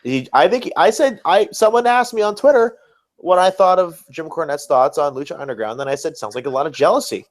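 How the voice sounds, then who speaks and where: A man's voice: fairly high pitch, expressive, brisk. A man hosting a podcast, chatting casually with blunt, dismissive opinions. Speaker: podcast host.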